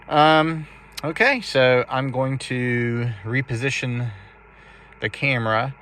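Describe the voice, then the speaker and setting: A man casually explaining and demonstrating amateur radio to a phone camera, speaking in a hesitant, halting way. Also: on the low side, bright, forceful tone. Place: inside a car for the later part. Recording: clearly noisy phone video recording.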